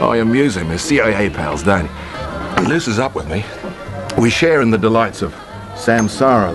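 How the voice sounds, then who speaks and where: A man's voice: medium pitch, lightly acted. A male actor playing a brash, hard-drinking, cynical Australian man in a radio play, with blustery slangy talk. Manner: blustery, slangy, chuckling, swearing. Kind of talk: BBC radio play acting. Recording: radio play with loud music underneath. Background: orchestral film soundtrack, city and vehicle sounds.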